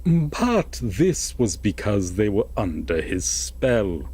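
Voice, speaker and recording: A man playing an obsessive, possessive lover in an intimate roleplay audio drama, speaking deliberately and coaxingly with dramatic pauses and a husky voice. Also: lowish voice, very animated, dark tone, exaggerated voice. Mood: ominous storytelling. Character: possessive, obsessive lover telling a veiled fairytale.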